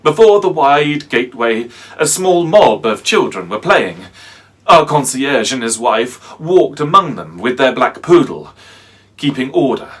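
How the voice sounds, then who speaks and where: A man playing a haunted, fearful narrator in a dramatic reading of a horror tale, speaking deliberately and theatrically with halting pauses. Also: mid-range voice, sing-song, a bit bright, husky, full character voice. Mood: uneasy relief. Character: haunted, fearful man recounting a nightmarish pursuit. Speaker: actor, narrator.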